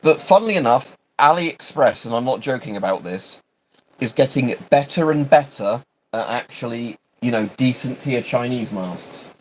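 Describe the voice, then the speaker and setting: A man's voice: medium pitch, animated, moderate pace, slight background noise, low-quality recording. A British man chatting casually on a livestream, rambling and hesitant, often interrupting himself. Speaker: livestream host.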